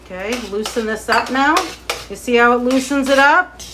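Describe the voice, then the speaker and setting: Boston lady cooking live, kinda high voice, excitable, pans clanking in the kitchen behind her. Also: moderate pace, noisy background. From Boston area. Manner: chatty, excitable, talks to viewers. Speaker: cooking show host. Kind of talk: live cooking demo chat. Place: home kitchen, indoors.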